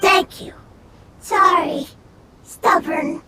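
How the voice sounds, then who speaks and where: A woman voicing a small, gentle, childlike spirit in a video game, murmuring in halting, breathy phrases. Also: extremely high pitch, far from the mic, noisy background, heavily acted. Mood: grateful.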